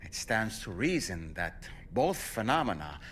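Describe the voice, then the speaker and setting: A man's voice: fairly low pitch, very animated, a little slow, a bit bright, slight background noise. A man playing a thoughtful, formal scientific adviser in a video game, speaking in an articulate way. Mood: explanatory. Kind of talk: video game dialogue acting.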